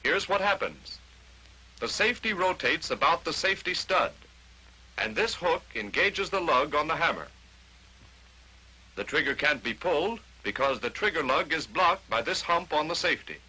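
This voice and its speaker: Sharp, bright 1940s American film narrator, male. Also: a little high, very animated, normal speed, low-quality recording. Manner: clear step-by-step explaining, declarative. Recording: raspy, sharp vintage 1940s film soundtrack.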